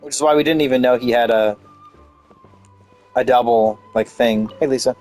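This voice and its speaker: A man's voice: medium pitch, moderate pace, a little mellow. A man giving casual video game commentary, rambling and thinking aloud as he plays. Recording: faint game music behind.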